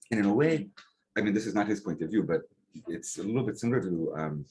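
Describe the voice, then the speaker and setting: A man giving an academic lecture to a seminar, relaxed and conversational, with frequent um and uh and rhetorical "right?" checks. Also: low-pitched, poor audio. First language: English. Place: lecture hall. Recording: faint background noise, clicks.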